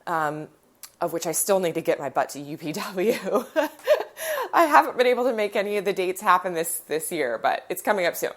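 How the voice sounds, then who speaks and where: A woman's voice: fairly low pitch, big pitch swings, fairly quick. A woman hosting a podcast, stuffy and nasal from a cold, chatting in a rambling storytelling way, recorded on her phone in her living room. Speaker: podcast host. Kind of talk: casual chat.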